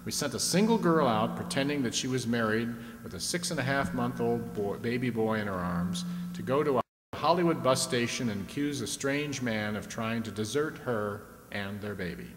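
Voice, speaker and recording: A man playing the jovial host of an old-time radio stunt show, chatting to the audience and pitching sponsors. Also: animated, normal speed, close to an everyday voice. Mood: folksy, jovial. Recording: echoey hall with clear background noise.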